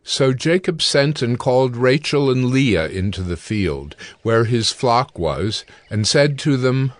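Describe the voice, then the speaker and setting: Male Bible narrator, medium pitch. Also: lively intonation, no room sound. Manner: measured, storytelling. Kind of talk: scripted audio Bible narration.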